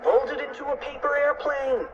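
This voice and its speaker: A man voicing an excitable tiny schoolboy from a storybook, with a shrill, exclamatory delivery. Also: normal speed, far from the mic. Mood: urgent. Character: excitable, panicky little schoolboy, an inch tall. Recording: noisy, muffled lo-fi audio.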